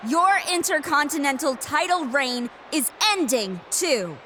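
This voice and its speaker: A woman's voice: sits high, sing-song, unhurried, a bit bright, a little hiss or hum, full character voice. A woman playing a defiant, determined wrestling challenger in a video game, sarcastic and confrontational. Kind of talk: scripted video game cutscene acting.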